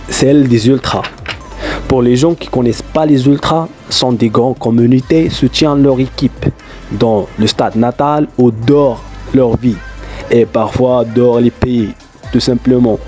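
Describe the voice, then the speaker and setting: This is a Moroccan man with a Moroccan accent, Darija-born, who speaks in a soft, darkish tone. He tells his stories in a chatty, rambling way, with fillers and easygoing non-native phrasing. Quiet music sits faintly behind his voice. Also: mid-range voice. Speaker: YouTuber. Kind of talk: casual vlog storytelling.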